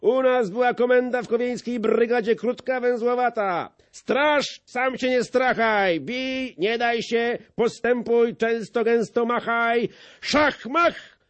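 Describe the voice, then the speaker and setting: A man playing a loud, fiery old nobleman. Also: very high-pitched, slow, highs cut off, pitched above the performer's own voice. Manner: shouting, emphatic. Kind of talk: audiobook poetry reading.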